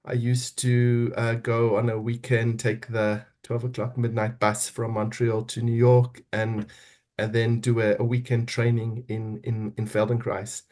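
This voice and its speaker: A man's voice: mid-range voice, little pitch movement, a little slow, darkish tone. Thoughtful South African man, middle-aged. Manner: thoughtful, searching for words with repeated restarts. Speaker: guest. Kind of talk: reflective interview talk.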